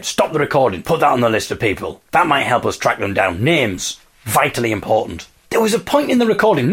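Guy podcasting, bit husky, really lively up and down delivery, chatty storytelling, emphatic and keen. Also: a little high. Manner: enthusiastic, emphatic, with dramatic repetition. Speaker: podcast host. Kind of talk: conversational storytelling monologue.

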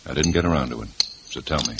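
A calm, firm police detective, with a very deep voice and measured questions. A faint hiss runs under the old radio play. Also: male voice, vocal fry. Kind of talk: 1950s radio drama acting.

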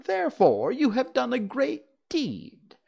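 Storybook mouse queen, tiny and squeaky, indignant and regal, put on by a male reader. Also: huge pitch swings, takes it slowly, creaky, a little mellow, breathy edge, exaggerated voice.

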